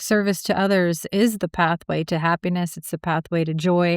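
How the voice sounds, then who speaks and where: A woman's voice: fairly low pitch, clean tone, dry recording, clean studio sound. A woman hosting a podcast interview, speaking in an earnest, thoughtful way. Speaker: podcast host. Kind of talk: interview conversation.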